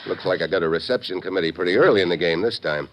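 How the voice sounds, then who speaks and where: An actor playing a tough, wry American special agent in an old radio drama, clipped and laconic. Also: male voice, low voice, racing, lightly acted. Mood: shaken, wry. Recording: noisy, dull vintage radio recording.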